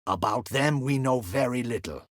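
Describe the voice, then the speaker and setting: An actor playing a proud, stern captain of a fantasy ducal guard in a video game, speaking formally in clipped, commanding, archaic courtly phrases. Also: male voice, medium pitch, normal speed, fairly bright tone, no room sound, clean studio sound, natural voice. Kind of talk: dramatic video game voice acting.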